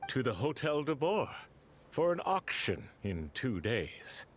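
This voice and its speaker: A man playing a genteel, formal merchant in a game, speaking politely and deliberately, aggrieved and guarded. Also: medium pitch, sing-song, soft background music. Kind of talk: game character dialogue.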